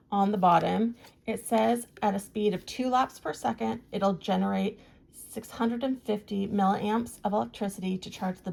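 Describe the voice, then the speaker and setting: A woman's voice: medium pitch, a little mellow. A woman giving a casual, plain-spoken product review, explaining features as she shows them. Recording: clear background noise, handling clicks.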